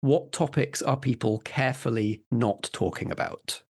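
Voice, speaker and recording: A man's voice: medium pitch, moderate pace, darkish tone, breathy edge. A man running a tabletop roleplaying game, narrating scenes and putting questions to players. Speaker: game master.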